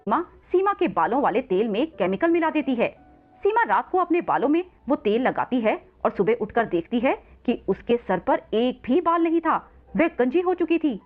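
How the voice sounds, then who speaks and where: A woman's voice: sits high, very animated, normal speed, faint music behind. A woman narrating a scripted children's story as storyteller, on a narrow phone-like recording.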